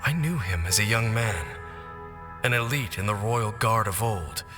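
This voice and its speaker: Casting: a somewhat low, formal voice for a mournful old-world knight, a man acting it out, with a long echo and music behind. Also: natural voice. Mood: solemn. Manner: solemn, formal, deliberate. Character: grave ancient knight, measured and mournful. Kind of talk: video game cutscene voice acting. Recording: echoey game cutscene with music.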